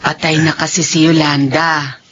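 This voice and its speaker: A woman acting in a radio drama as a kindly, devout elderly mother who speaks gently and earnestly. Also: low voice, flat delivery, moderate pace, slight background noise. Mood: gentle, concerned. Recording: dull lo-fi audio with faint music.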